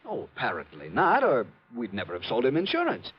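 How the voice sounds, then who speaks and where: A man's voice: mid-range voice, huge pitch swings, fairly quick, breathy edge. A man playing a worried, earnest insurance company man in an old radio drama, formal, precise and insistent. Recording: muffled old radio recording.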